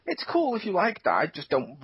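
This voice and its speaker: A man's voice: high voice, wildly swooping pitch, fairly quick, breathy edge. A man co-hosting a casual gaming podcast, telling rambling stories with plenty of swearing. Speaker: podcast co-host. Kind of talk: casual chat. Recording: dull lo-fi podcast audio.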